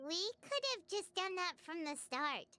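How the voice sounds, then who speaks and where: A woman's voice: extremely high pitch, animated, unhurried, bright, forceful tone, a little hiss or hum, heavily acted. A woman voicing a tiny, cheeky, girlish floating companion in a game, with a bouncy childlike manner. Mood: chatty. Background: game music.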